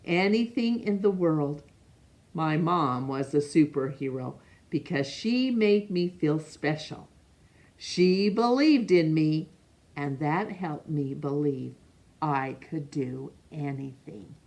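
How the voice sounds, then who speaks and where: A woman reading a picture book aloud to children, expressive and pausing to ask young listeners questions. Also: low voice, creaky. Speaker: storytime reader.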